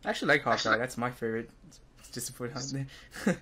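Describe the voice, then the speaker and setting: A man's voice: mid-range voice, quick pace, sharp tone, husky. A man chatting casually as a guest on a podcast. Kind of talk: casual chat.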